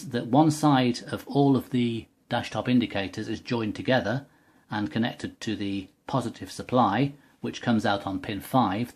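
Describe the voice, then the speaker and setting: A man's voice: mid-range voice, a little mellow, husky. A man giving an unscripted technical tutorial, explaining methodically step by step as he demonstrates a product.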